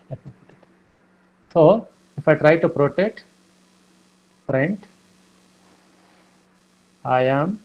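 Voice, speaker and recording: Halting man teaching code, lo-fi. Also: medium pitch, creaky. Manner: halting, many fillers and repeated "okay". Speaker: programming teacher. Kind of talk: lecture explaining code.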